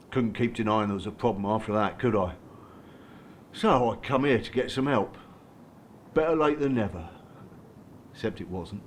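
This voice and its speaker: Actor as blunt, rough-spoken mentor, noisy recording. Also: male voice, fairly low pitch, lightly acted. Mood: weary, frank. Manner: blunt, colloquial, matey. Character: blunt, streetwise male abuse-group mentor, rough and remorseful. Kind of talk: naturalistic radio drama acting.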